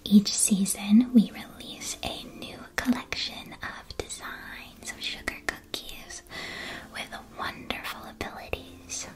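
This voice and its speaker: A woman playing a friendly witch shopkeeper in an ASMR roleplay, speaking in a gentle, welcoming, unhurried way. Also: mid-range voice, lightly acted. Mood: gentle, chatty. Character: friendly witch shopkeeper of a magical sweets shop. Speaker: ASMR performer.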